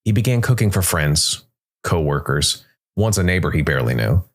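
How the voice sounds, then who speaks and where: A man reads scripted dark fantasy narration in a measured way, with dramatic pauses between short phrases. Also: deep voice, very animated. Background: background music.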